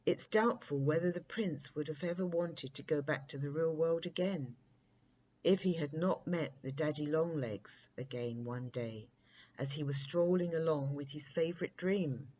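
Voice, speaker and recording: Low-voiced woman reading a bedtime story. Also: moderate pace, darkish tone, highs cut off, slight background noise. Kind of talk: children's bedtime story narration.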